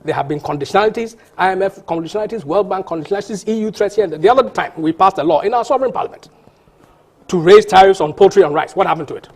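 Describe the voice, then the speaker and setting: Fast, husky-voiced man arguing insistently. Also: high voice, lively intonation, a little mellow. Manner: insistent, argumentative, many restarts and repeated words. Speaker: panel guest. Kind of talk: heated TV panel debate.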